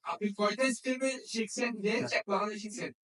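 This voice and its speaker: A man's voice: sits high, steady tone, a little fast, a bit bright. A man teaching a hands-on repair class, often checking understanding with questions. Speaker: phone repair instructor. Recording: echoey room, distant microphone.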